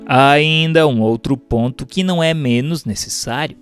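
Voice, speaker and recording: A man with a Brazilian accent reading a doctrinal text aloud as a narrator, in a formal, measured, didactic way. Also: a little high, music in the background. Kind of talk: scripted audiobook-style narration of a doctrinal text.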